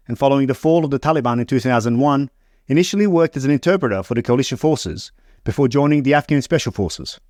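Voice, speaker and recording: A man hosting an interview podcast, reading an introduction and then asking questions in a measured way, often restarting sentences. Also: mid-range voice, a little mellow, no echo, clean studio sound. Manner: measured, stumbling restarts when unscripted. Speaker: podcast host.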